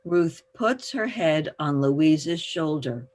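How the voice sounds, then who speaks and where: Slow-reading woman narrator. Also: low-pitched, expressive, a little mellow. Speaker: stage directions reader. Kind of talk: scripted narration.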